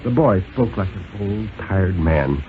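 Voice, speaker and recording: A man's voice: deep voice, animated, a little slow. A man reading a story aloud in scripted 1950s radio narration. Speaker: dramatic reader. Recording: tinny, noisy 1950s radio recording.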